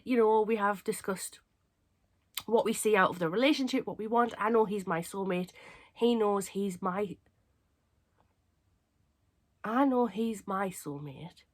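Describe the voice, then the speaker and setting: A young woman from England with a cold, sounding hoarse and stuffy, chatting casually and rambling to camera as a craft channel host. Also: medium pitch, fairly bright tone. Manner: chatty, rambling, self-mocking.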